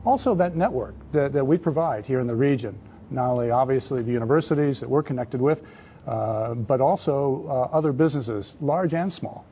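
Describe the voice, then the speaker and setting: A mellow-voiced man in his fifties explains things as a panel guest in a studio discussion. His delivery is halting, with repeated words, at a medium pitch. There is noticeable background noise. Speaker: panel guest, entrepreneurship adviser.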